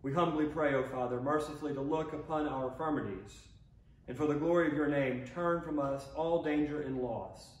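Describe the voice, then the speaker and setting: A man officiating a church service, reading prayers and psalms in a measured, solemn way. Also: on the high side, steady tone. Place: inside a church sanctuary by the altar. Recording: roomy, distant mic with faint noise.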